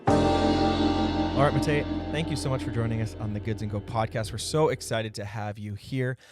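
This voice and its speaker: A man's voice: on the low side, fairly even intonation, normal speed, over loud music. A man hosting a podcast, interviewing a guest in a friendly way and laughing easily. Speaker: podcast host.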